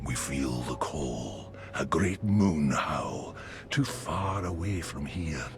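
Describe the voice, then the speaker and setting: An actor playing an old, weary, grim werewolf in a video game, with a gravelly breathy voice and slow, ominous, archaic phrasing. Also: male voice, rumbling low, expressive, music in the background, full character voice. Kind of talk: video game dramatic monologue.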